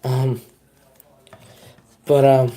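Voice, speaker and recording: A young man talking casually on a live stream, wandering from one thought to the next. His voice is dark and mellow. He pokes fun at himself as he chats with his viewers. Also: medium pitch, level tone, very slow, a little hiss or hum. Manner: rambling, self-deprecating, chats to viewers.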